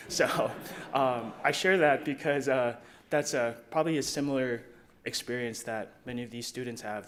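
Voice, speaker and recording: A man who grew up in Colorado Springs, a high school club sponsor, giving an earnest, conversational speech at a city council meeting. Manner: earnest, conversational, some fillers.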